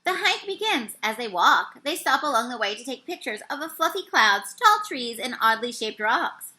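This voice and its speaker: A woman reading a children's story aloud, with expressive and carefully phrased narration. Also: a little high, moderate pace. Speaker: storybook reader.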